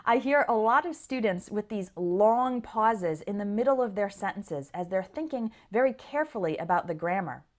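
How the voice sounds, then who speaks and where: A woman English teacher giving an instructional lesson, explaining things clearly and speaking directly to learners. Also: medium pitch, animated, normal speed, hardly any pauses. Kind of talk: instructional lesson to camera or listeners.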